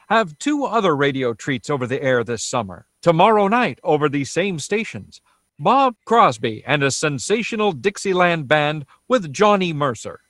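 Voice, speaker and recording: A man's voice: fairly high pitch, a bit bright, no room sound, clean studio sound. A man playing a polished, upbeat 1930s radio sponsor spokesman, announcing and reading ads.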